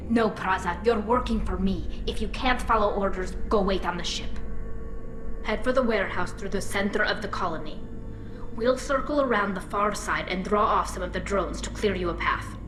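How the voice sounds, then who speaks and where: A woman playing an earnest, commanding young alien team leader in a video game, firm and measured. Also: medium pitch, reverberant, over music, lightly acted. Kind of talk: video game cutscene acting.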